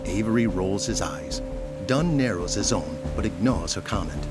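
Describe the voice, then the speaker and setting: A male narrator with a fairly deep voice reads scripted narration for an audio drama. Music is clearly audible behind him throughout. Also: animated, normal speed.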